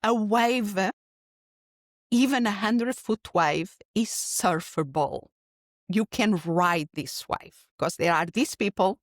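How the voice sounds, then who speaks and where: A woman's voice: on the low side, dry recording, studio quality. A middle-aged woman from Portugal with a Portuguese accent, answering interview questions as a keynote speaker in a reflective, explanatory way. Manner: earnest, reflective, speaks in long explanatory runs with restarts.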